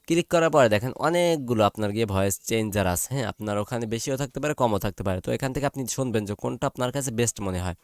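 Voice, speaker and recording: A man with a Bangladeshi accent giving an informal step-by-step software tutorial, repeating himself and often checking in with listeners. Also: mid-range voice, lively intonation, quick pace, few pauses, dry recording, studio quality. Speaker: tutorial presenter.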